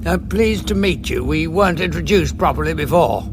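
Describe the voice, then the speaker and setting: A man's voice: on the high side, animated, normal speed. An actor playing a wily time-travelling adventurer in a sci-fi audio drama, bluffing his enemies with breezy, polite, slightly hesitant patter. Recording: music bed behind voice.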